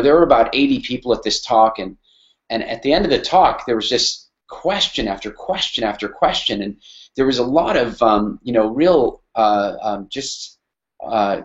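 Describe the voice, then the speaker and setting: A man's voice: medium pitch, lo-fi sound. A middle-aged Canadian man speaking casually on a webinar, hesitant, with many fillers and restarts. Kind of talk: casual spoken talk on a webinar.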